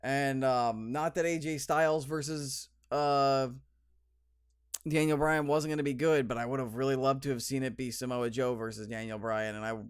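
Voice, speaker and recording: Young male podcast host, under the weather, smooth mid voice, chatty and full of ums, dry close sound. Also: mid-range voice, steady tone, normal speed, no room sound. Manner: rambling, lots of ums and restarts, enthusiastic. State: slightly sniffly with a cold. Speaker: podcast host reviewing wrestling. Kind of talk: solo casual podcast review.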